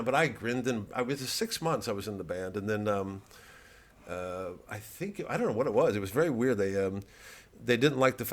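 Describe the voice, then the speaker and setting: A middle-aged American man, a comedian, talking casually in a halting, digressive monologue full of repeats and asides. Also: on the low side. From United States. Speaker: stand-up comedian and satirist. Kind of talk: rambling casual monologue to an audience of fans.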